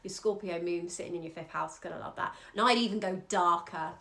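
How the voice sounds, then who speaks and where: A woman's voice: fairly low pitch, fairly even intonation, fast talker, a bit bright. A Scottish woman, an astrologer, giving an earnest, encouraging chart reading in loose conversational speech.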